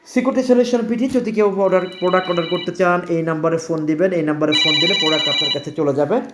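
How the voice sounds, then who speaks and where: A man's voice: high voice, very fast, echoey room, very noisy. A man with a Bangladeshi accent giving customers an informal shipping update as a seller, hesitant and repetitive but reassuring. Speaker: security equipment seller presenting order dispatches. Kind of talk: informal product shipment video addressed to customers.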